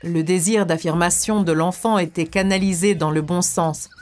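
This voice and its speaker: A woman voicing a calm, wise spiritual teacher in a cartoon, explaining in a measured, didactic way. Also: lowish voice, lightly acted. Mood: calm, instructive. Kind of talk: scripted cartoon dialogue, didactic lecture. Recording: faint music under the voice.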